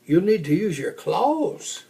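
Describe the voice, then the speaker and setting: Old grandmother bear for a picture book, voiced by a man: patient, loving, instructive, unhurried, creaky. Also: sits high, very animated, heavily acted. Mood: patient teaching. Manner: gently instructive, encouraging. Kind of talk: children's storybook read-aloud.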